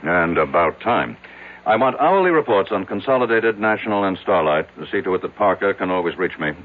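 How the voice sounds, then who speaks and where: An actor playing a ruthless wealthy business tycoon in an old radio drama, brusque, authoritative and demanding. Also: male voice, low-pitched, lively intonation, brisk. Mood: brisk, commanding. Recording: noisy, narrow-band old radio recording.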